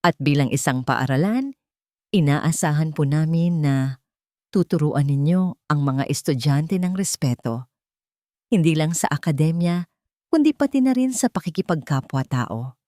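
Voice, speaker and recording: A woman voicing a calm but firm, earnest man in a romantic audio story, a protective guardian who speaks politely. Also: sits low, unhurried, dry recording, clean studio sound.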